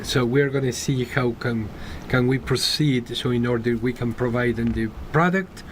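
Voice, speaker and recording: A man's voice: mid-range voice, slight background noise, rough recording. Chuckling man with an Argentine accent. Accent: Argentine Spanish. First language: Spanish. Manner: enthusiastic, chuckles often, halting with fillers. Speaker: guest, business owner. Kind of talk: casual interview chat.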